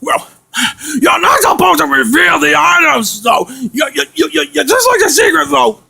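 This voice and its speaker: Man doing an exasperated, high, shouty scolding boss. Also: expressive, normal speed, gravelly, exaggerated voice. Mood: exasperated, angry. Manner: stammering, repetitive, ranting. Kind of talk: amateur improvised skit.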